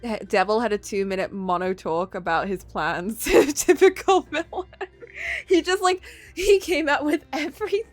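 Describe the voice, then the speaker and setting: Higher-voiced woman reading a story game aloud. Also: moderate pace, faint music behind. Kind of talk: expressive narration with casual asides.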